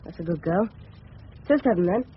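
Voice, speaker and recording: A man's voice: sits high, expressive, normal speed, a little hiss or hum. Cocky teenage boy, southern English accent, well-spoken, radio drama, muffled old broadcast. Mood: confident. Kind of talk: 1970s radio drama acting.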